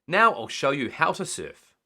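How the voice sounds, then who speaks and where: A man voicing a helpful, gently teasing young friend in a storybook, keen and encouraging. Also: a little high, wildly swooping pitch, normal speed, pitched above the performer's own voice, heavily acted.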